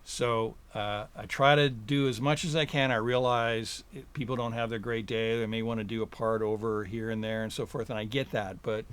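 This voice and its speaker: Relaxed, chuckling man guesting in a studio. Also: lowish voice, normal speed, hardly any pauses, clear, resonant tone. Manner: relaxed, chuckling, rambling with fillers. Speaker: guest, recording engineer. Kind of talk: casual interview chat. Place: recording studio.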